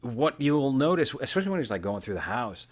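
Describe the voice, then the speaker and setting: A man's voice: mid-range voice, big pitch swings, fast talker. A man hosting a podcast, casually analysing films and explaining his points piece by piece. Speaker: podcast host.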